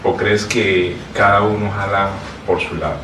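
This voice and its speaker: A man's voice: lowish voice, fairly even intonation, a little slow, fairly bright tone. A man asking questions as an interviewer. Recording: roomy, distant mic, faint noise.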